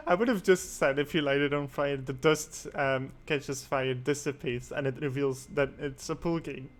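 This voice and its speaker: Host, male, somewhat high voice, casual and easygoing, chuckles often. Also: unhurried. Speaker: podcast host. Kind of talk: casual chat.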